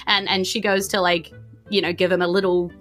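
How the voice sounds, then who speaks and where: A woman's voice: on the low side, little pitch movement, fairly quick, a bit bright, faint music behind. A woman, narrating and hosting as game master in a chatty, theatrical way. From New Zealand. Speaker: game master and podcast host.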